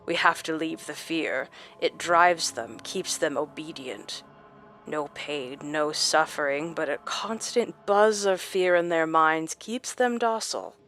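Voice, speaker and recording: Woman voicing a hushed, gloating sadistic doctor. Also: low-pitched, faint music behind. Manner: slow, hushed, savoring. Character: sadistic, gloating male doctor savoring others' fear. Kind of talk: quoted villain in horror audio drama.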